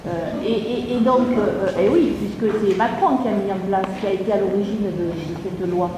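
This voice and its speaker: A woman's voice: medium pitch, normal speed. A French woman raising an objection in a council debate, hesitant, with many fillers and restarts. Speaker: council member asking a question. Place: large council chamber. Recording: echoey chamber recording with long reverb, noisy.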